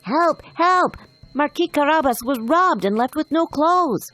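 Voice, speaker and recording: A woman's voice: sits high, lively intonation, measured pace, fairly bright tone, no echo, faint music behind, pitched above the performer's own voice. A woman voicing a cunning, polite male cat servant in a children's storybook, speaking in an earnest, persuasive, courtly manner. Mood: alarmed.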